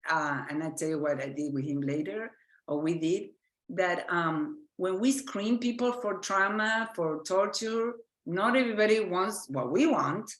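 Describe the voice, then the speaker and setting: A woman with an Argentine Spanish accent gives a lecture in a fairly low voice. She speaks earnestly and keeps restarting her sentences while she hunts for the right word. The room is echoey. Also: unhurried. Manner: earnest, searching for words, frequent restarts. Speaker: physician lecturer. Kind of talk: lecture presentation.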